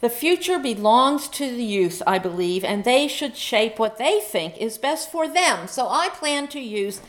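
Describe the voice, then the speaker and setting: A woman's voice: fairly high pitch, expressive, a little slow. A woman from Myanmar, an activist, giving a public speech in a conversational way with asides to her audience. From Myanmar (Rakhine State). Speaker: human rights activist and organization founder.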